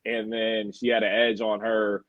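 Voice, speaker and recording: A man, a sports anchor and guest, chatting in a relaxed, slangy way over earbuds. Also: on the low side, a little slow, no echo, muffled recording. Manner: relaxed and slangy, many fillers. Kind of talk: casual sports talk. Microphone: earbuds on a call.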